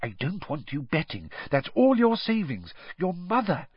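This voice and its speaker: A kindly middle-aged father from a wizard storybook, voiced by a man. He speaks gently and tentatively, with a husky grain and a pitch that moves around a good deal. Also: huge pitch swings, moderate pace, no echo, dull audio, exaggerated voice. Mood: tentative, uneasy.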